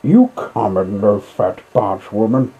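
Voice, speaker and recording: A man's voice: lowish voice, lively intonation, slow pace, warm tone, slightly rough, highs cut off, exaggerated voice. A man voicing a pompous, conceited, hot-tempered toad from a storybook, declaiming indignantly. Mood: indignant, furious. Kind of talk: storybook character voice in an audiobook reading.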